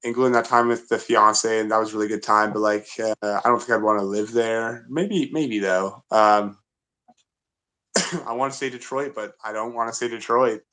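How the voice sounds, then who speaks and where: A man co-hosting a podcast, chatting casually with crude jokes and quick wisecracks. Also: fairly low pitch, steady tone, pushed and bright. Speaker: podcast co-host. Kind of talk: casual chat.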